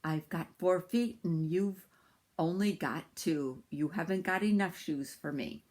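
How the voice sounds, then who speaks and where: A woman voicing a boastful, hungry male tiger in a children's story, with slow, drawn-out threatening lines. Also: fairly low pitch, darkish tone. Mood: menacing.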